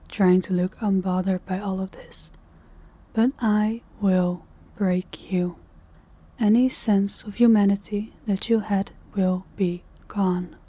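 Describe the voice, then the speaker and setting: A woman playing a dominant, cold mafia boss in a roleplay audio, speaking to the listener in a deliberate, condescending, commanding way. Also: mid-range voice, warm tone, husky. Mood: condescending, strict, then menacing.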